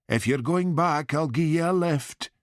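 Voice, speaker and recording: A broad-spoken Scottish truck driver, odd and matter-of-fact, who talks about his truck as if it were a person, as a male reader plays him. The voice is lifted well above the reader's own pitch and sounds close and dry. This is audiobook character acting. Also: measured pace, dry recording, close to an everyday voice. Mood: eccentric.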